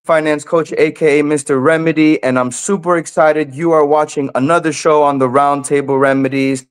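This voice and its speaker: A man's voice: fairly high pitch, steady tone, moderate pace, clean tone. A man hosting a talk show in an upbeat way, addressing viewers and a guest. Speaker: show host, community finance coach.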